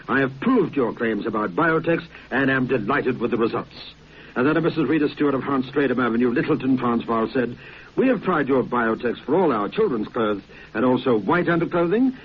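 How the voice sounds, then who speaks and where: A man giving a formal, courteous, old-fashioned sponsor's pitch on the radio. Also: husky. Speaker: advertisement presenter. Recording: dull, faintly noisy old radio recording.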